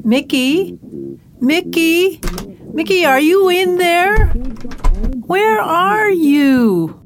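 Woman acting exasperated, high-voiced bossy caregiver sister. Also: expressive, very slow, slight background noise, heavily acted. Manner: scolding, exasperated. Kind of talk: audio drama acting.

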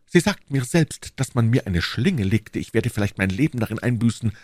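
A man's voice: medium pitch, expressive, fairly quick, a little mellow, dry recording, clean studio sound, voice pushed up, lightly acted. A man voicing a passionate, lovestruck English duke from a classic novel, pleading and fatalistic in an ardent, courtly, declamatory manner.

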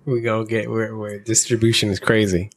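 A man's voice: fairly low pitch, little pitch movement, brisk. A man interviewing a podcast host, asking casual questions, humming along attentively and joking. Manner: attentive, many soft back-channel hums, joking.

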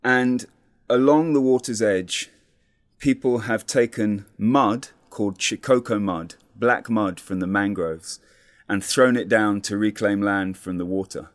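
A man calmly describing his city as a guest on a talk program, speaking in a measured, descriptive way. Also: fairly low pitch. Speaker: community radio guest. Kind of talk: conversational explanation.

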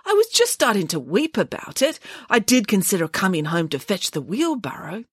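A woman's voice: medium pitch, sing-song, breathy edge, no room sound, studio quality. A woman voicing a huge, sensitive male bear who weeps and wails, in a storybook reading. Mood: earnest. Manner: tearful, drawn-out wailing. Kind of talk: storybook character voice.

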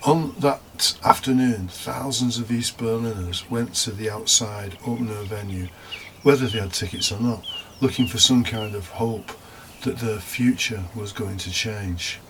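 Man, low voice, deacon's homily, reflective, questioning, partly scripted, faint background noise. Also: a little slow, few pauses. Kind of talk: homily, part scripted with asides.

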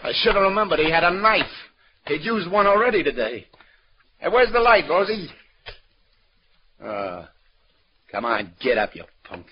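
An actor playing a weary, commanding New York police detective lieutenant in 1940s radio crime drama, speaking in a clipped, slangy way. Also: male voice, a little high, sing-song, normal speed, long pauses. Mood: wry, tough. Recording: noisy old radio recording, dull.

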